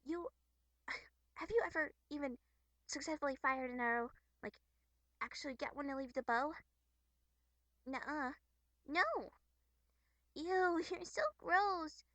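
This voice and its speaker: A woman voicing a playful, excitable, catlike teenage troll girl with a childlike, teasing manner. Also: high-pitched, slow pace, long pauses, no echo, pitched above the performer's own voice.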